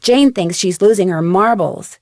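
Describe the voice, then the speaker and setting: A woman's voice: mid-range voice, a little slow, a little mellow, no room sound, studio quality, natural voice. A woman voicing an inquisitive, determined teenage American girl detective in a video game, earnest and conversational. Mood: earnest, intrigued.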